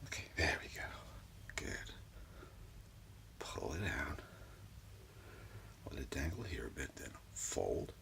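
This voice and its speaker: A man with an extremely deep, crackling voice gives a soft ASMR healing session, often dropping into a whisper. He murmurs slowly and soothingly in short, repeated phrases, with a breathy edge. It is recorded close up in a small room at home. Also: sing-song. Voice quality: whispery, breathy, low and gravelly. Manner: soothing, repetitive, unhurried, murmuring. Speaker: ASMR performer giving a reiki session. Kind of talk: soft-spoken ASMR role of energy healer.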